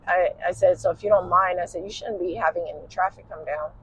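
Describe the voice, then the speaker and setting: A tired woman with a husky, smoky voice tells a personal story in casual chat while driving in a car at night. She rambles and uses lots of "you know" fillers. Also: on the low side, moderate pace, a little hiss or hum, rough recording. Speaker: podcast host.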